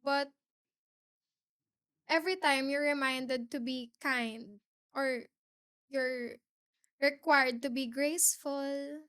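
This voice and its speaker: A young Filipino woman in her late teens speaks with a Filipino accent, her voice sitting high and her pace unhurried. She answers interview questions carefully, pausing on fillers. The sound is dry and close, with little room around it. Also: fairly even intonation, fairly bright tone, no room sound. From Philippines. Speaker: guest, pageant winner.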